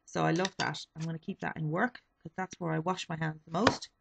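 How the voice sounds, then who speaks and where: A woman's voice: low-pitched, fairly even intonation, brisk, gravelly, very noisy. A young woman in her twenties, a little sniffly, chatting casually through a beauty haul with lots of ums and self-corrections. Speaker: beauty vlogger. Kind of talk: casual product review.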